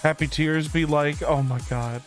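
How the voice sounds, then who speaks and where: A woman game streamer reacting casually out loud in an exclamatory, expressive way. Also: low-pitched, normal speed, soft background music.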